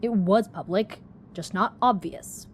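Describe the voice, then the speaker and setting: An actress playing a terse, stubborn female investigator in an audio drama, speaking in a clipped, deadpan way. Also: medium pitch, a little slow, slight background noise, natural voice. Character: terse, stubborn female investigator, quietly tense travel companion.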